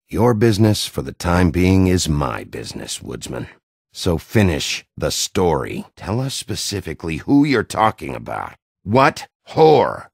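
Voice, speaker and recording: An actor playing a gruff, hot-tempered werewolf detective in a video game, a middle-aged man with a gravelly, husky, blunt, intimidating delivery. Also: rumbling low, no echo, studio quality, exaggerated voice. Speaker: interrogating lawman.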